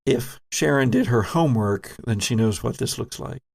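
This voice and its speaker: A man narrating a tabletop role-playing game as game master, in a measured, descriptive way. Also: medium pitch, lively intonation, creaky, darkish tone.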